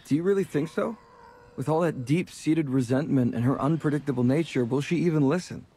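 An actor playing a blunt, pragmatic, wary adventurer with a gravelly, husky voice in a video game. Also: male voice, a little high, a little fast, warm tone. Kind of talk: video game dialogue acting.

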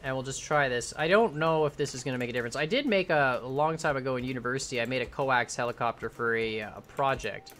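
A young man in his twenties chatting casually as he builds during a live game stream, rambling and thinking aloud, his voice starting to go hoarse. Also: medium pitch, fast talker, few pauses, a bit bright. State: voice going hoarse. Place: home room at a computer.

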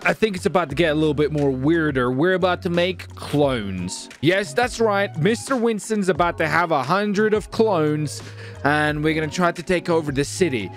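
Animated, high-voiced man hosting gaming video. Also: moderate pace, soft background music. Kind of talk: excitable gameplay commentary with playful roleplay.

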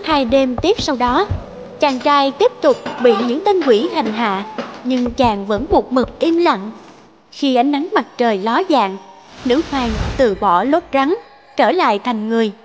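A woman's voice: high voice, expressive, measured pace, a little hiss or hum. A woman narrator reading a scripted fairy tale in storybook style. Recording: dull lo-fi sound, faint music.

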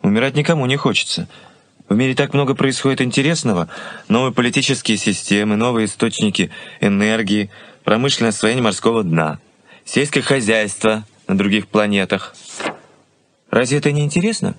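An actor playing an earnest, skeptical young fiancé in a Soviet radio play, speaking in a measured, dry way. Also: male voice, fairly low pitch, no echo, lightly acted. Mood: eager, earnest.

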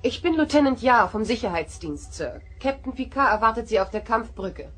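Casting: female security lieutenant, husky, lively intonation, brisk and formal, sci-fi radio play. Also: fairly high pitch, soft background music. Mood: formal, dutiful. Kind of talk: German audio drama acting.

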